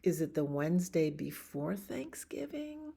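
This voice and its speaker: Lady doing kids bedtime storytime, deep for a woman, real slow pace, chatty little asides. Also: very animated, gravelly, mellow, slightly rough. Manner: unhurried, chatty asides and questions to children. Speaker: library storyteller. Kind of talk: bedtime story time for young children.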